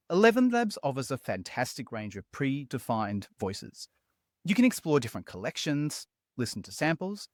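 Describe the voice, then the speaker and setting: A man narrates a building tutorial in an upbeat, chatty voice-over. His pitch moves around a lot. The sound is dry and close, with no room around it. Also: dry recording. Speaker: tutorial host.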